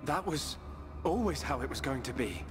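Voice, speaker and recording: A man playing a resolute young hero in a video game, speaking in an earnest, halting, heartfelt way. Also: on the high side, big pitch swings. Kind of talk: scripted video game cutscene acting. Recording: long hall reverb, music behind.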